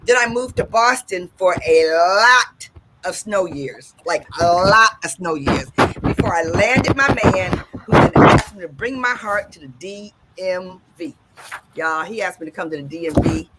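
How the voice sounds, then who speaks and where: A woman's voice: lowish voice, measured pace, heavy background noise. A woman from Detroit hosting an upbeat talk radio show, exuberant and sing-song, repeating phrases for emphasis. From Detroit, USA.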